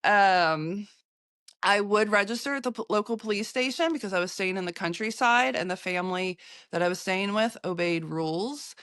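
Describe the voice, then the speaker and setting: An American woman, a photographer, recounting her travels in an interview, halting often with fillers and restarts. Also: medium pitch, a bit bright, clear, resonant tone, dry recording, studio quality. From United States.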